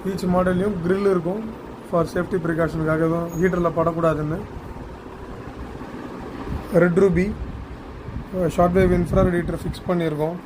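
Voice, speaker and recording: Male product demonstrator, high register, little intonation, halting unscripted explanation with English terms, noisy recording. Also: flat delivery. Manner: halting, repeats words while explaining.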